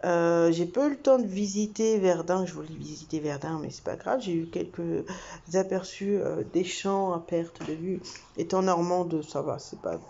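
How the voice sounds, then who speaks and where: A woman's voice: on the low side, moderate pace, creaky, muffled recording. A young woman from France, telling a story casually to camera with lots of fillers and laughter. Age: young adult. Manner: chatty, many fillers and laughs, animated swings. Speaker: vlogger.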